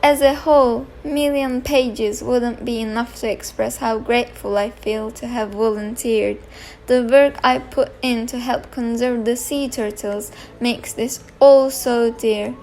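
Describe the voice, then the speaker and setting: A woman reading a heartfelt, measured reflection on her volunteering as a voice-over. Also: a little high, fairly even intonation, a little mellow, faint music behind. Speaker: volunteer narrator.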